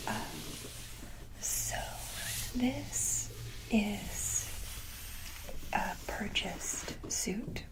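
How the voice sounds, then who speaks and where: A woman hosting an ASMR video, speaking casually in a breathy, gentle whisper, in short halting phrases. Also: mid-range voice, lively intonation, rough recording. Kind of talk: soft-whispered ASMR, casual show-and-tell.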